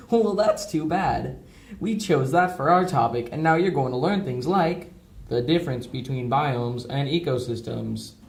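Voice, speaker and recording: Echoey, mellow young man narrating a lesson. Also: fairly high pitch. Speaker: narrator of an educational film. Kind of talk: scripted educational narration with jokey asides. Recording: roomy, echoey amateur recording.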